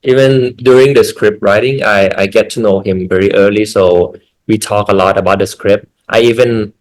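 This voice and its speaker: Low-voiced Thai man, measured English. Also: fairly even intonation, rough recording. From Thailand. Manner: measured, with repeated words and restarts. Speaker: film director.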